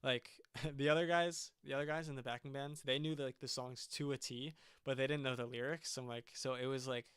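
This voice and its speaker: A man's voice: medium pitch, fairly even intonation, a little fast, few pauses, a bit bright, smooth, no room sound, studio quality. A young man, a band's singer, chatting casually on a livestream and often stopping to restart his sentences. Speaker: singer and band frontman.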